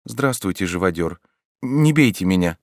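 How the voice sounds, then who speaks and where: Guy putting on a scared young street girl, way up high, pleading, shaky. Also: normal speed, dry recording, studio quality, close to an everyday voice. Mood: frightened. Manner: pleading, trembling. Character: timid young streetwise woman, frightened.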